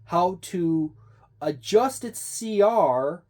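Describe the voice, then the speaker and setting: A man's voice: high-pitched, lively intonation, drawn-out words, darkish tone. A man giving an unscripted review of a tabletop game book, meandering and thinking aloud as he goes.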